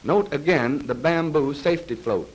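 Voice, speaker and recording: A man reads scripted narration for a 1930s military training film in a formal, measured, precise manner, on a vintage film soundtrack. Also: fairly high pitch, lively intonation, slightly rough, rough recording. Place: voice-over recorded for a film.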